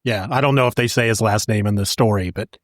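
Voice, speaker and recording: A man's voice: lowish voice, fast, dry recording, clean studio sound. A man co-hosting a casual discussion in a tentative, conversational way. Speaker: podcast co-host. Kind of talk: casual comic-book discussion.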